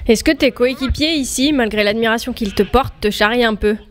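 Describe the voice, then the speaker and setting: A woman's voice: a little high, a little fast. A woman interviewer reading prepared introductions and questions.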